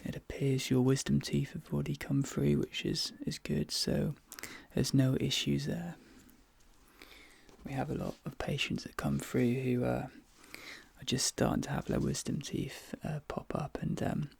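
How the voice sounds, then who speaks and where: Breathy whispering ASMR man, heavy creak. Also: mid-range voice, level tone, a little mellow, no echo. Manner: soothing, reassuring, hesitant murmured pauses. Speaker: ASMR performer role-playing a dentist. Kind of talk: ASMR roleplay, soft whispering and murmuring. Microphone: close microphone, dry.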